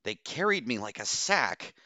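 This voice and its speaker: A man's voice: lowish voice, big pitch swings, normal speed, sharp tone, no room sound, voice pushed up. A man voicing an agitated, stubborn woman doctor with a strident, complaining manner in an audiobook story. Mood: agitated, frightened. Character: agitated, stubborn female doctor, hissing and whining.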